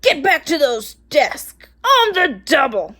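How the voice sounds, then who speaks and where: Woman doing a slow, scratchy, bossy witch teacher. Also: high-pitched, very animated, bright, forceful tone, voice pushed up, exaggerated voice. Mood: menacing, bossy. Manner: slow, commanding.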